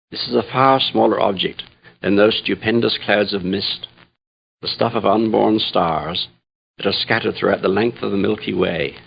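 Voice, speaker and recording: A low, gravelly male voice with a husky edge as a troubled Jesuit scientist in deep space. He speaks in solemn, measured reflection, like a confession in a radio drama. The recording is slightly dull. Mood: reflective. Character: troubled, grave Jesuit astrophysicist priest aboard a starship. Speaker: dramatic narrator. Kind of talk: first-person radio drama monologue.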